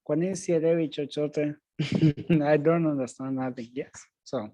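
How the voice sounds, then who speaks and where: A man's voice: fairly high pitch, fairly even intonation, a little slow, warm tone, dry recording, rough recording. A man teaching Swahili over a video call. He explains things patiently, repeats each point in English, and laughs easily.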